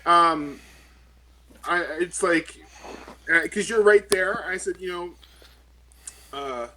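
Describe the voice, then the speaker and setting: A woman playing a tabletop game, chatting casually and role-playing, often halting and correcting herself. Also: on the low side, sharp tone, a little hiss or hum, low-quality recording.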